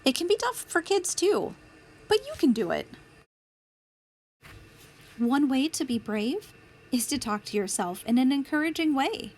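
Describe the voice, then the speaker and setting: A woman reading a picture book aloud as a storytime host, with deliberate, clear phrasing for young children. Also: high-pitched, sing-song, a little mellow. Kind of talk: children's read-aloud narration.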